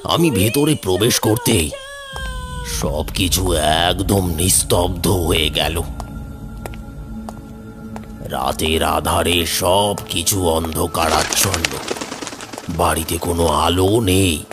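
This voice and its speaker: A man narrating a first-person horror story, somber and measured. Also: low-pitched. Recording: background music.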